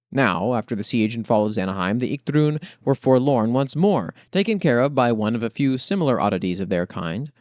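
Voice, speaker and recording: A man hosting a podcast, reading written fiction aloud in a measured, expressive storytelling way and adding his own commentary. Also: on the low side, no room sound, clean studio sound. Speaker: podcast host.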